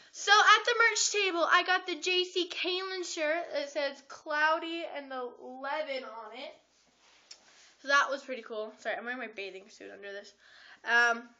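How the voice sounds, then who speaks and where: A teenage girl excitedly recounting her day in a chatty webcam vlog, full of "like" and quick asides, recorded in a small carpeted room. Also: high-pitched. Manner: gushing, chatty storytelling with frequent "like". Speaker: vlogger. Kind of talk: excited casual vlog recap. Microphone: laptop webcam at close range. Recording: dull lo-fi webcam audio.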